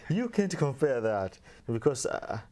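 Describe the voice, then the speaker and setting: A young man from Kenya, a seminarian guest, talking casually and softly with many fillers. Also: medium pitch, sing-song, slow. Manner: soft-spoken, many fillers, laughs easily. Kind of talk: casual interview talk.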